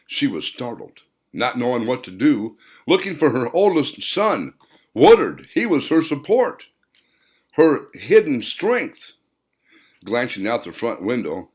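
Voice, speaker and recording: Man narrating dramatically, widely swinging pitch. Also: slightly rough. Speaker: author reading own novel. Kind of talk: dramatic scripted audiobook narration.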